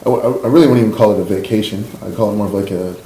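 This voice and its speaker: A man's voice: fairly low pitch, rapid-fire, warm tone. A man with a Canadian accent telling personal stories casually and candidly as a podcast guest, in an informal conversational way. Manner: informal and candid, frequent "like" and "you know". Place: indoor room, in-person podcast recording. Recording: roomy echo, faint background noise.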